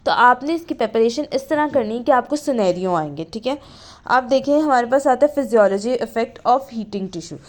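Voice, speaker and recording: A woman's voice: fairly high pitch, expressive, normal speed. A woman giving an informal lecture, reading out English terms from notes and explaining them point by point with frequent checking tags. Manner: explanatory, often ends points with a checking tag. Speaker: physiotherapy lecturer.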